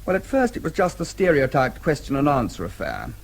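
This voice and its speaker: Radio drama: weary British official, husky, mid-pitched, wry and officially worded. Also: male voice, huge pitch swings, fairly quick. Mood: thoughtful. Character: dry, weary British diplomat, formal and wry.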